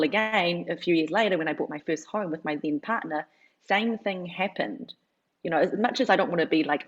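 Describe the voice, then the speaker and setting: A New woman in her thirties with a low voice chats through her story, leaning on "like" and "you know". The audio is dull and lo-fi, like a video call. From New Zealand. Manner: chatty, filler-heavy, lots of "like". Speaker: guest. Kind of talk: casual interview storytelling.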